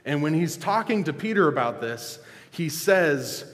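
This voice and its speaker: A male pastor giving a casual, joking sermon, often restarting and filling pauses with "um". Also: on the high side. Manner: relaxed, joking, halting with fillers. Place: church sanctuary. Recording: long reverb of a church hall.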